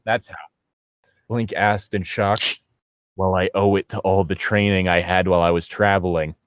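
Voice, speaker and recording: A man's voice: deep voice, flat delivery, normal speed, no room sound. A man casually riffing as he reads a story aloud, with a sarcastic, blunt, sweary manner. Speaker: co-host of a comedic fan fiction reading show.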